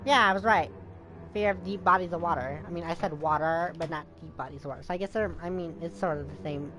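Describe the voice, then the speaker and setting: A woman's voice: fairly low pitch, fast talker, fairly bright tone. A woman streaming a video game with casual, blunt, joking commentary. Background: game music and character dialogue.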